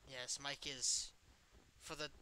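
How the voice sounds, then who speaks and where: A man's voice: mid-range voice, little pitch movement, slow pace, sharp tone, clear, resonant tone. A man voicing an evil, smug animatronic puppet in an improvised game roleplay, with a taunting, blasé manner. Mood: casual, smug. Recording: noisy home mic with clicks.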